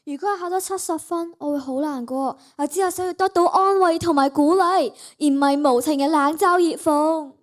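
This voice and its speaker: Young girl acting an excitable schoolgirl, high voice, hong kong cantonese, radio play. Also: moderate pace, hardly any pauses, close to an everyday voice. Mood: remorseful, earnest. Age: child, primary school student. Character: excitable schoolgirl, first mocking, later remorseful.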